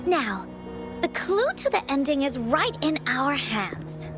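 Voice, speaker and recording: A woman's voice: high-pitched, big pitch swings, takes it slowly, over music, full character voice. A woman voicing a quirky, confident little girl in a video game, with playful, childlike pep. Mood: playful, eager. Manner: speaks of self in third person, childlike pep. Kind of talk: video game character acting.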